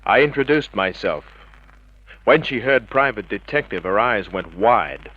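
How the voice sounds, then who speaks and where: An actor playing a tough, wisecracking private detective, terse and cocky, narrating in the hardboiled style of an old radio crime drama. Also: male voice, on the low side, animated, a little slow, husky. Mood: wry, matter-of-fact. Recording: dull vintage radio drama recording.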